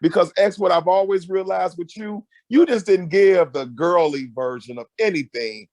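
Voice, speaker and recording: A loud, emphatic middle-aged man giving animated, conversational advice as a guest counselor, often repeating phrases to drive them home. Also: high-pitched, moderate pace, no room sound. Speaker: guest marriage counselor and mentor.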